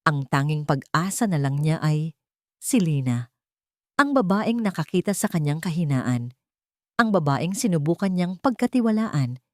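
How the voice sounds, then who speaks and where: Female storyteller, lower register, close dry sound, solemn dramatic scripted narration. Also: lively intonation, unhurried, no echo.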